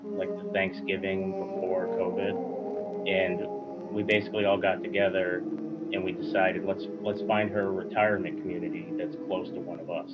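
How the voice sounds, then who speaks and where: Very low, flat-voiced man telling a story. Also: moderate pace. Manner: steady, detailed storytelling. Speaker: podcast guest. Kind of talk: casual conversation. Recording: muffled recording with loud music behind.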